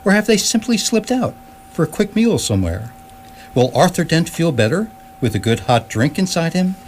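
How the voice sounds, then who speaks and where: A man's voice: fairly high pitch, big pitch swings, normal speed. A man giving scripted comic narration as the storyteller of a radio drama. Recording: clearly noisy recording with hum.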